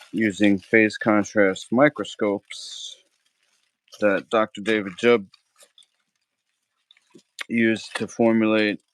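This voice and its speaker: Man, low voice, slow unhurried talk, casual slangy cooking stream host. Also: dry recording. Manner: slangy, argumentative, keeps saying bro.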